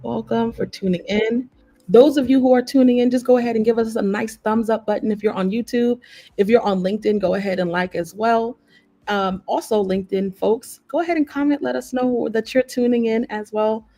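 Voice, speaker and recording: A woman's voice: a little high, steady tone, a little fast, mellow. A woman hosting a live online workshop in an upbeat, effusive way, welcoming the audience over a video call. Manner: upbeat, effusive, lots of praise words. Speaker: workshop host.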